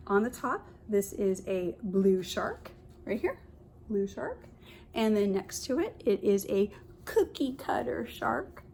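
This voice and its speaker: A woman reads a picture book aloud in the manner of a kindergarten teacher, at a middle pitch. She breaks off often to explain things and point them out in simple words for small children. There is some noise behind her voice in what sounds like a homemade recording. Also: big pitch swings, takes it slowly, vocal fry, a little mellow.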